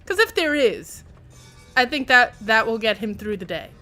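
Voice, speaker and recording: A woman with a slightly high voice chats casually while streaming a game. Her commentary is wry and rambling, with some music behind it. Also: very animated, moderate pace, bright, forceful tone.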